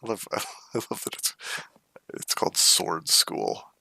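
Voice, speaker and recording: A low, gravelly man plays a game live and talks through his choices. His speech swoops widely in pitch as he moves from casual asides into reading the game's lines in different voices. He is recorded close to the mic, with a dry, bright sound. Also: very animated, measured pace, dry recording. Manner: reads game characters' lines in varied voices, playful and chatty. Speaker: streamer. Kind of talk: reading game text aloud with casual commentary.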